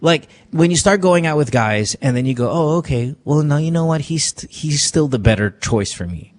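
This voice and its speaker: A man's voice: a little high, lively intonation, normal speed, a little hiss or hum. Chatty guy hosting an advice call-in, bouncy up and down voice, cracks jokes, talks frank. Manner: joking, frank, mixes in Tagalog words. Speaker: radio advice show host. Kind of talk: casual call-in advice chat.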